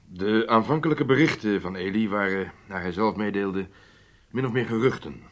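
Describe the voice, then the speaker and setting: An actor playing a commanding, matter-of-fact intelligence chief in a radio drama, speaking in a deliberate, explanatory way. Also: male voice, fairly low pitch, fairly bright tone, lightly acted. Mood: measured. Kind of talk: Dutch radio drama acting.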